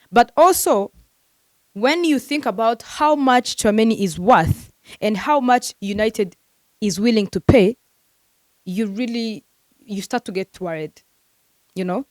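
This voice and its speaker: A woman's voice: medium pitch, dry recording. A woman hosting a football transfer news vlog, talking casually and animatedly to her viewers with lots of fillers, venting like a frustrated fan.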